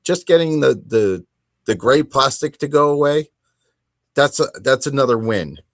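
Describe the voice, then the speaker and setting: A middle-aged man talks as the host of a casual hobby podcast. His delivery is informal and meandering, with emphatic phrasing, in a medium-pitched voice. The sound is dry, with no room echo. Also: lively intonation, measured pace.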